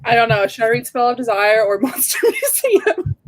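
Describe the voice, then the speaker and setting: A woman's voice: on the high side, big pitch swings, moderate pace. A woman guest talking casually in an informal group chat about books. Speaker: guest on a book readalong livestream.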